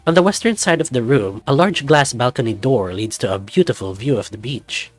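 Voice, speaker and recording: A man narrating a visual novel in the second person in a lively storytelling manner. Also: medium pitch, a little fast, soft background music.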